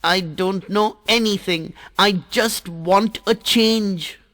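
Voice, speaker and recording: A man's voice: high voice, measured pace, fairly bright tone, voice pushed up. Man doing a whiny little water-drop boy. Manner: whiny, pleading.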